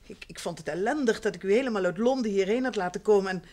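A woman's voice: mid-range voice, fast, a little mellow, lightly acted. Woman acting refined, hesitant radio-play lady. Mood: apologetic, hesitant. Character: refined, apologetic, evasive woman, easily flustered. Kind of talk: Dutch radio drama acting.

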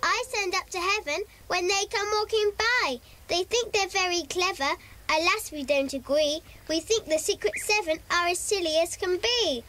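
Piercingly high, cheeky little girl. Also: moderate pace, sharp tone. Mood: mocking. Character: cheeky, teasing little schoolgirl.

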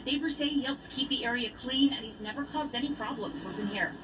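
A woman's voice: on the high side, fast talker, background noise. A woman reporter delivering a scripted local news story. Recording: TV audio played back from a distance.

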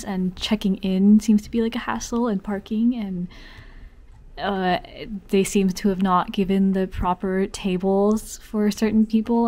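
A woman's voice: medium pitch, darkish tone. A young adult woman with a youthful voice, chatting informally and rambling through a casual vlog. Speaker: vlogger and convention artist.

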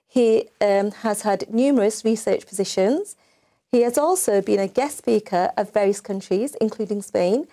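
A middle-aged woman hosts a live TV talk show from a studio. Her voice is mellow and warm, mid-pitched, and her talk is chatty, full of "you know" and "um". She introduces and chats with her guest. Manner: chatty, filler-heavy. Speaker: TV presenter. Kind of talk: live TV interview.

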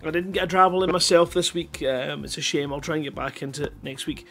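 A man's voice: on the high side, level tone, fast, vocal fry, a bit bright, soft background music. A middle-aged man hosting a livestream, chatting casually and rambling in a jokey, self-deprecating way into a headset mic. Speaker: live stream host.

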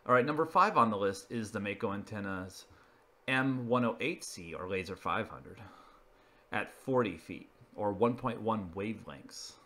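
A man's voice: fairly low pitch, expressive, normal speed, pushed and bright, slight background noise. A man presenting an informal narrated slideshow, explaining each item in a matter-of-fact way. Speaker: video presenter.

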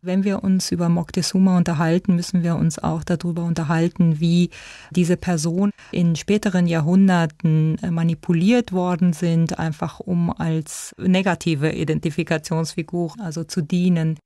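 A woman's voice: fairly low pitch, flat delivery, moderate pace, dark tone, no room sound, studio quality. A woman speaking as an interviewed expert, explaining in a spontaneous, informative way. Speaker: ethnologist, museum director, interviewed expert.

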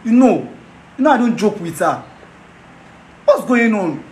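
A man's voice: sits high, big pitch swings, a little slow, a little mellow. A man playing a heartbroken young man, pleading. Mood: anxious. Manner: pleading, repeats himself, breaks into laughing sobs. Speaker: betrayed boyfriend. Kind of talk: amateur skit acting. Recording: roomy echo, faint noise.

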